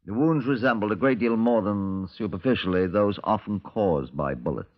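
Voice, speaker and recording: An actor playing a brusque, sardonic Scotland Yard chief inspector in a 1950s radio crime drama. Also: male voice, fairly low pitch, expressive, moderate pace, close to an everyday voice. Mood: dry narration. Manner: brusque, dry, sarcastic. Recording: dull, lo-fi 1950s radio recording.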